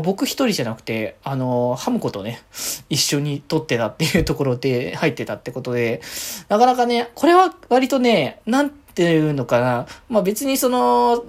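Woman, lowish voice, very lively swooping intonation, casual solo podcast host, easygoing, conversational. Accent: Japanese, from Aichi. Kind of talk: casual solo chat, recapping the week.

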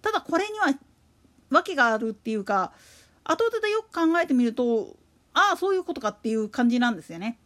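A woman's voice: high voice, very animated, fairly quick, fairly bright tone. A woman hosting a solo podcast, talking casually in chatty, slangy, colloquial speech. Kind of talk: casual monologue about a radio announcer.

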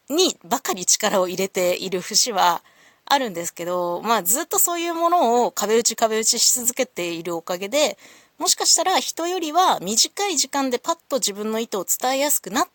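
A woman's voice: mid-range voice, no room sound. A woman hosting a solo audio show, talking casually to listeners in a polite, reflective, explanatory way.

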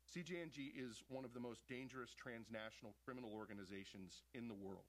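A man delivers a formal statement as an official at a press conference. His voice has an average male pitch. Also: normal speed.

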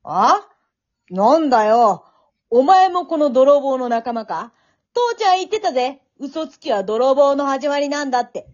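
An amateur actor playing a taunting, loutish little schoolboy bully in a radio drama, rough-mouthed and jeering. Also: high-pitched, big pitch swings, a little slow. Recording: dull, lo-fi audio.

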